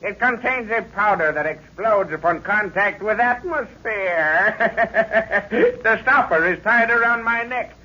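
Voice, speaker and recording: A man playing a mocking, gloating mad villain inventor in an old radio serial melodrama, speaking with slow, chuckling menace. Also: high voice, lively intonation, sharp tone, heavily acted. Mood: mocking triumph. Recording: muffled old radio recording.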